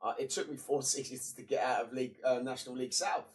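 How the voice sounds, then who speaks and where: Young guy streaming, bunged-up voice, sits back from the mic, mid pitch, chatty and muttery. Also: steady tone, fast talker, far from the mic. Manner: chatty and matey, muttering to himself between bursts. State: congested, voice partly gone. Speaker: streamer playing a football management game. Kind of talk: casual gaming commentary.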